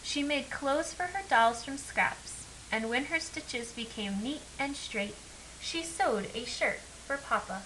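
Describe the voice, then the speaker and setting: A woman reading a children's picture book aloud as an expressive storyteller. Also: high voice, slow pace, poor audio. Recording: clear background hiss.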